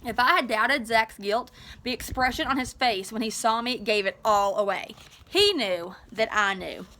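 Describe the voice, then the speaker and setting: A woman teacher reading a story aloud expressively, with an animated, informal manner. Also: on the high side, moderate pace. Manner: animated, informal asides to listeners.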